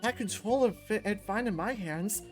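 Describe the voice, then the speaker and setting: A woman reading a visual novel aloud as expressive first-person story narration. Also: mid-range voice, normal speed, soft background music.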